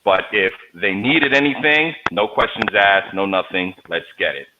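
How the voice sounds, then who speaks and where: Deep-voiced new guy in his 40s, joking storyteller, muffled video call sound. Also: moderate pace, noisy background. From New York, USA. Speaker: guest. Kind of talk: casual interview talk.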